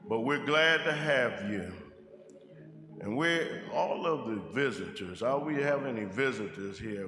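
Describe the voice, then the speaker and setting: An older American man at a church pulpit, reading scripture and welcoming the congregation in a slow, folksy call-and-response way. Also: medium pitch. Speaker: church elder giving the welcome. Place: large church sanctuary. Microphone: pulpit mic on a stand. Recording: echoey church hall with music.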